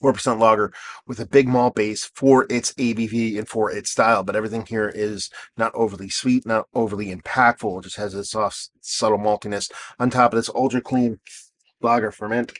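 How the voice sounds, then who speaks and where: Hoarse man hosting a beer review. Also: fairly low pitch, fairly even intonation, a little fast, fairly bright tone. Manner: rambling and self-deprecating, many fillers. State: hoarse, losing his voice. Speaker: beer reviewer and show host. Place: outdoors.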